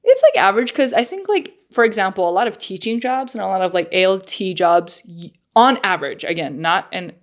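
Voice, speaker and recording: A woman's voice: medium pitch, lively intonation, fairly quick, hardly any pauses. Chatty, slightly nasal woman podcaster. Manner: chatty, lots of fillers and likes. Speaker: podcast co-host. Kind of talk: casual chat.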